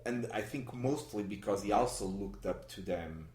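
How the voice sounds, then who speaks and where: Man, from Portugal, Portuguese native, very low voice, halting with restarts, echoey room. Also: darkish tone. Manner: halting, many restarts and fillers. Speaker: guest magician. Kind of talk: conversational interview. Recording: roomy echo.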